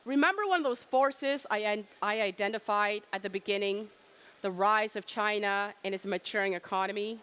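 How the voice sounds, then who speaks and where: A woman's voice: medium pitch, moderate pace, fairly bright tone, clean tone. A middle-aged woman from Cambodia giving an emphatic keynote presentation at a trade fair and asking rhetorical questions.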